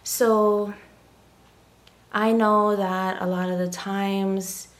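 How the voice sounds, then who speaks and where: Slow, soft-spoken woman from Hawaii. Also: mid-range voice, level tone. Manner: slow, gentle, many ums and pauses. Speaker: podcast host. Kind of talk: casual solo podcast monologue. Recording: loud background music.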